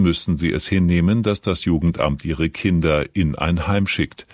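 A man reading a formal radio news and weather bulletin in a measured, neutral manner. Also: deep voice, no room sound, clean studio sound. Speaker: radio newsreader. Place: radio studio.

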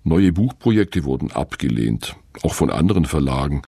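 A man's voice: very deep voice, very animated, normal speed, gravelly, dark tone, husky, no echo. A middle-aged man, an author, reading a wry, reflective first-person radio essay from a studio. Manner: reflective, wry, self-questioning.

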